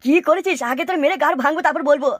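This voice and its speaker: Woman acting high-voiced angry cartoon bully. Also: rapid-fire, no room sound, clean studio sound, close to an everyday voice. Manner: aggressive, threatening. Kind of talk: cartoon comedy dialogue.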